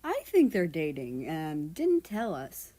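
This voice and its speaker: A woman's voice: sits low, huge pitch swings, slow pace, warm tone, heavily acted. Woman doing a friendly teasing school kid, a boy, upbeat, takes his time, storybook style.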